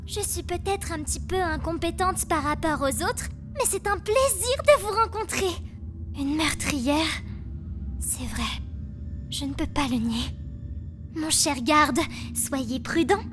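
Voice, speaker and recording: A woman voicing a gentle, fragile, lovesick prisoner in her twenties, sugary and airy, in a French anime fan dub. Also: sits high, slow, music in the background. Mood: sweet, playful. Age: 20s.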